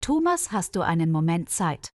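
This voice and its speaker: A woman's voice: lowish voice, sing-song, moderate pace, darkish tone, no room sound, studio quality. A woman narrator voicing a tired, worried female hospital colleague in a story, earnest and explanatory.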